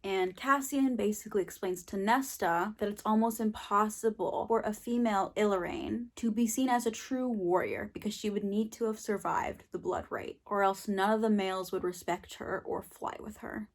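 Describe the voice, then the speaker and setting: A woman's voice: medium pitch, moderate pace, hardly any pauses. A young woman giving an informal, emphatic book recap with casual swearing, partly scripted, as a book reviewer.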